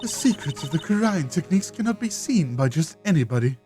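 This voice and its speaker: A woman's voice: low voice, very animated, a little fast, warm tone, soft background music. A woman voicing a stern, haughty middle-aged spirit medium from a video game, prim and stately with archaic politeness. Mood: stern, formal.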